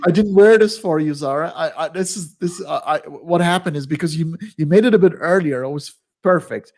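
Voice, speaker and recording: A male host chatting in a friendly, halting way on a video call, often restarting his sentences. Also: fairly high pitch, a little mellow. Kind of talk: casual interview chat.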